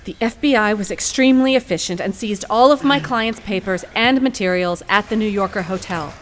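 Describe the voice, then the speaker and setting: An actress playing a determined, eloquent female lawyer in a courtroom audio drama, with a formal, theatrical and deliberate delivery. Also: mid-range voice, a little hiss or hum, lightly acted. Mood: solemn, narrating. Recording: music behind the voice.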